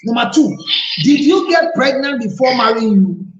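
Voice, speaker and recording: A man's voice: very high voice, expressive, normal speed, echoey room, highs cut off. A man with a Ghanaian English accent hosting a counselling show, firmly repeating his questions. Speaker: relationship counselor host.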